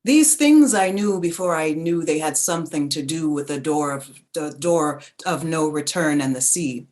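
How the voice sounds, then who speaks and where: Lower-pitched woman reading lyrical prose dramatically. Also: lively intonation, normal speed, darkish tone. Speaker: narrator in a staged reading.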